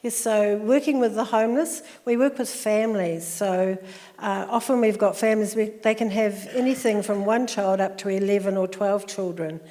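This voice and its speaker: A middle-aged woman with a New Zealand accent, chatting warmly with plenty of ums in a church interview. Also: medium pitch, steady tone, moderate pace. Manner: warm and chatty, with many ums. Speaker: social worker. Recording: echoey hall with long reverb.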